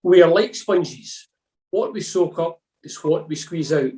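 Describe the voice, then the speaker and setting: Mellow-voiced man reading in an echoey room. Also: fairly high pitch, measured pace. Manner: calm, earnest reading. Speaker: devotional reader. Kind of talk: scripted church reflection reading. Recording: roomy echo.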